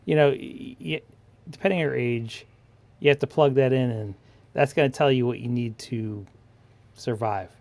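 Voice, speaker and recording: A man talks casually as part of a livestream panel. His voice sits at a middle pitch, with a very dry sound and little room around it. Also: moderate pace, vocal fry, a little mellow, no echo. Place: online livestream panel call.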